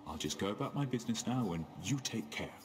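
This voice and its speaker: A man's voice: lowish voice, animated, quick pace, over music, lightly acted. A man voicing a weary, wry condemned soul in a video game, speaking with sardonic deference. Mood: wry, deferential. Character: sullen, sardonic condemned male shade pushing a boulder.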